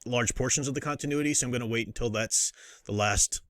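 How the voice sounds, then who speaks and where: A man's voice: mid-range voice, quick pace, a bit bright, no room sound. A man hosts an off-the-cuff review in a mid-range voice. He rambles and doubles back on his sentences with a fan's enthusiasm. The sound is dry, with little room to it. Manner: rambling, self-interrupting, enthusiastic fan talk. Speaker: host reviewing books and comics. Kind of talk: casual unscripted review commentary.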